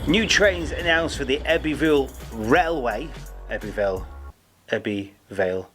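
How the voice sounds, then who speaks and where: A man's voice: medium pitch, expressive, slow. Man, news roundup host, chatty and jokey, faint music behind. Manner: chatty, jokey, stumbles over names. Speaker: host of a railway news show. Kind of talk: upbeat news roundup presenting.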